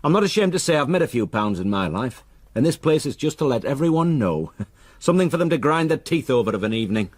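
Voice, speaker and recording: A man's voice: medium pitch, sing-song, fast talker, dry recording. An actor playing a blunt, proud, self-made rich man in a period radio drama. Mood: proud, wry. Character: blunt, boastful self-made wealthy husband.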